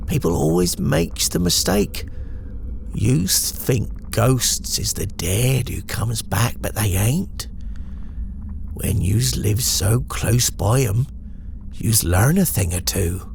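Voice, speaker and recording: Eerie storybook crone, played by a man: rustic English dialect, gravelly, wary, superstitious, slow ominous muttering. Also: mid-range voice, lively intonation, a little mellow, a little hiss or hum, voice pushed up, exaggerated voice. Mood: hushed, secretive. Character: eerie old village woman, wary and superstitious.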